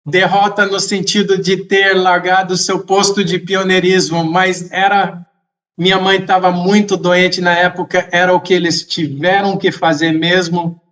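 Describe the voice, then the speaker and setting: Slow, high-voiced man telling a story. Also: level tone. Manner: slow, measured, reflective, with occasional soft laughs. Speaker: podcast guest. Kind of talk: casual storytelling in an interview.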